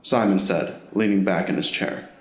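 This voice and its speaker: Guy narrating an audio drama, lowish voice, echoey room. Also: fairly even intonation, moderate pace. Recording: roomy, echoing voice recording.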